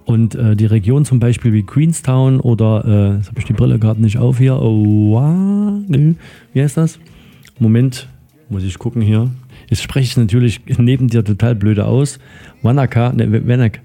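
A man's voice: medium pitch, moderate pace, warm tone. A man hosting a casual radio interview, chatting in a rambling way. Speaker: radio show host.

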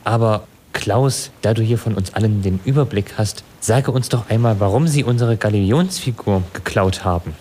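Man playing an earnest, low-voiced lovestruck sailor. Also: moderate pace, few pauses, dark tone, a little hiss or hum, close to an everyday voice. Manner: earnest, defensive. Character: lovestruck, bewildered young sailor, earnest and defensive. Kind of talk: acted German audio story.

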